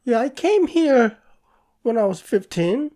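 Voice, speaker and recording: Guy with a korean accent, talks real slow, pauses a lot, warm kinda dark tone. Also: very high-pitched, very animated. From South Korea. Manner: slow, halting, with pauses and restarts. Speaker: guest artist and professor. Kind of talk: interview answers.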